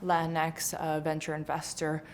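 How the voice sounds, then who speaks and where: A woman's voice: low voice, completely flat delivery, unhurried, slight background noise. A woman from India giving an interview testimonial as a scholarship recipient.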